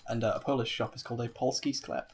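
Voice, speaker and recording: A man's voice: medium pitch, fast. A man doing casual, tongue-in-cheek commentary over a video game. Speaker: let's player, co-commentator.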